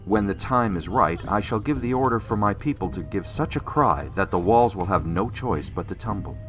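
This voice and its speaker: An actor playing a solemn, commanding ancient war leader of great faith in an audio drama, speaking in formal, stately phrases. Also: male voice, on the low side, animated, moderate pace, fairly bright tone, soft background music. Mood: solemn, assured.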